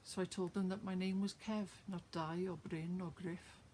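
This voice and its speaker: A sheepish, homesick man from Cardiff owns up in a low, confessional tone, as a Welsh woman puts him on. He speaks in a Welsh accent, with a lowish pitch. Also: flat delivery, normal speed, mellow, a little hiss or hum, natural voice.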